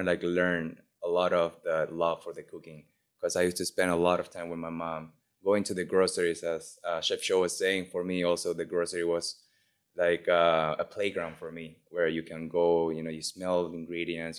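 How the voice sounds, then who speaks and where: A man's voice: sits low, flat delivery, normal speed, clear, resonant tone. A man from Argentina, a chef, telling his story fluently and easily in an interview. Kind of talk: interview answers.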